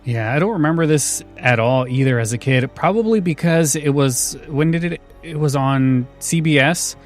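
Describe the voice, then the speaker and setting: A man's voice: a little high, normal speed, soft background music. A man hosting a podcast in a casual, chatty style. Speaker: podcast host.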